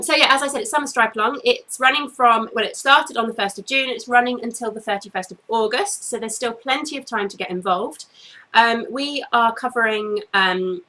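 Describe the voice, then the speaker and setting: Chatty, bright-voiced woman podcaster, sniffly. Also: medium pitch, poor audio. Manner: chatty, apologetic, goes off on tangents with many ums. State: a bit sniffly. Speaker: knitting podcast host. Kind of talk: casual video podcast chat. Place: bedroom at home, indoors.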